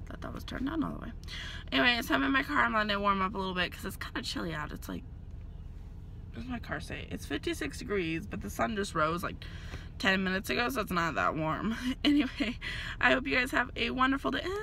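A woman's voice: fairly high pitch, gravelly, bright, forceful tone, slight background noise. A woman in her twenties, chatting casually and rambling with lots of fillers, filming herself on a phone held at arm's length inside her car. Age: 20s. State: hoarse, losing her voice. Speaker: vlogger. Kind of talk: casual vlog chat.

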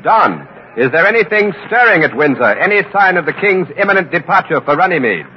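A man's voice: on the high side, big pitch swings, fairly quick. Earnest american newsman guy, 1940s radio style, muffled n really noisy. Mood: brisk. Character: earnest radio correspondent covering history live. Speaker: on-the-scene news reporter. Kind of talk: 1940s radio news reporting, dramatized.

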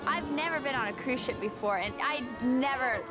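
Young woman recounting eagerly, fairly high. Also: moderate pace, over loud music. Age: young adult. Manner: enthusiastic storytelling. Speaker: reality show contestant. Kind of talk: confessional interview.

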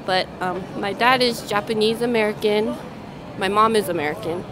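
A woman in her twenties, interviewed on a street at night, soft-spoken, with fillers. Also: mid-range voice, moderate pace, background noise. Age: 20s. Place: outdoors on a busy city street at night. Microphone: handheld mic. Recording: clear background music on a street.

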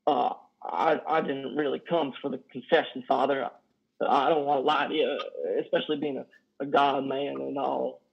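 An actor in his thirties playing a lonely, awkward trucker in a play reading, halting, stammering and folksy. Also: mid-range voice, flat delivery, creaky, slightly rough. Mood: nervous, apologetic. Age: 30s. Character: lonely, awkward, self-deprecating trucker, plain-spoken and folksy. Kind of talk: play reading over video call. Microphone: headset on a video call. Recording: dull video-call audio.

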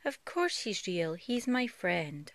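A woman's voice: medium pitch, sing-song, slow pace. A woman voicing an eager, trusting young boy rabbit from a storybook in a childlike, pleading manner. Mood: earnest.

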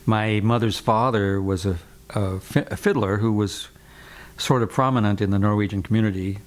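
An older man, a guest musician, telling relaxed stories with dry puns in a living room. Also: fairly low pitch, normal speed. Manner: relaxed, wry, punning. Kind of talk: conversational storytelling.

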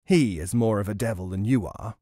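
A man voicing an impatient, scornful devil in a folk tale, raspy and hushed. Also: on the low side, a little fast, no echo, clean studio sound, pitched below the performer's own voice, lightly acted.

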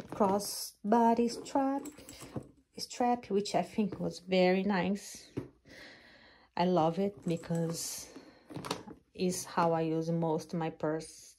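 Brazilian woman in her 30s, Portuguese accent, warm low voice, unhurried casual vlog delivery. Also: a little hiss or hum. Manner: halting, searching for words, laughs often. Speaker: purse reviewer. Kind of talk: casual product review. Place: small craft room indoors. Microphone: phone camera.

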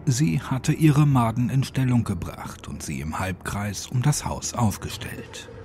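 A man's voice: mid-range voice, big pitch swings, a little slow, darkish tone, slight background noise. A man reading a scripted horror audiobook as its narrator. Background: music.

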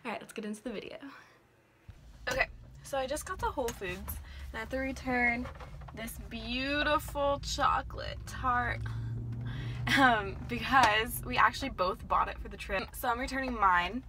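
A woman's voice: on the high side, moderate pace. A young American woman chatting to camera in a casual, upbeat, informal vlog style. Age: young adult. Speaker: vlogger. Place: parked car and bedrooms indoors. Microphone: phone camera at arm's length. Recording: noisy phone-camera vlog audio.

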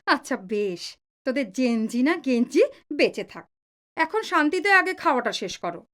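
A homely, middle-aged mother in a radio play. Her voice is high and full of swoops as she keeps the peace, caring and mildly chiding. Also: sing-song, normal speed, fairly bright tone. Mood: gently scolding. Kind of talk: Bengali audio drama acting.

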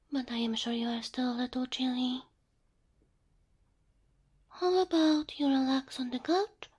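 A woman performing an ASMR roleplay as a gentle, doting cow-girl girlfriend, speaking softly and tenderly into a close microphone. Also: high-pitched. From Slavic Eastern Europe. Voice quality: soft, breathy. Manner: tender, slow, coaxing.

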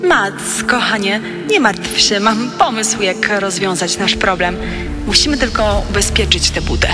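A woman's voice: medium pitch, measured pace, lightly acted. A woman playing a scheming, persuasive wife who feigns concern in a true-crime audio drama, with music behind her. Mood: sweetly coaxing.

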